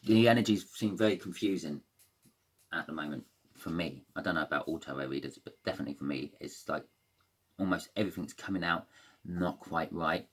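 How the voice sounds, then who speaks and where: A man with a croaky voice giving a casual, rambling tarot reading, full of fillers and restarts. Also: low-pitched, fairly quick. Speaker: tarot reader.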